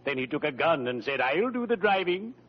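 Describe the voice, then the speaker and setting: An actor playing a friendly, morbid undertaker in an old radio comedy, with a solemn, pompous deadpan. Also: male voice, fairly high pitch, fairly quick. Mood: grandly morbid. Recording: muffled vintage radio recording.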